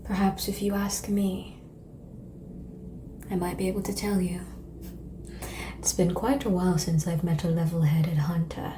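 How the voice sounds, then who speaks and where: Over audible background ambience, a woman plays an ancient female vampire, seductive and composed. Her voice is lowish, soft and breathy, often sinking to a whisper. She speaks very slowly and formally, in an ASMR roleplay manner. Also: little pitch movement, natural voice. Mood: coolly intrigued. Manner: slow, measured, formal phrasing with long pauses. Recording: clear background noise and ambience.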